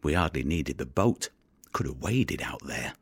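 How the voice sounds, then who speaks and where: A grim, world-weary fisherman speaks in an extremely deep, husky voice. His audio-play monologue is blunt, bleak and matter-of-fact, recorded close and dry. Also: expressive, measured pace, no room sound, full character voice. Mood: grim, bitter. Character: grim, world-weary male fisherman hardened by recovering the drowned.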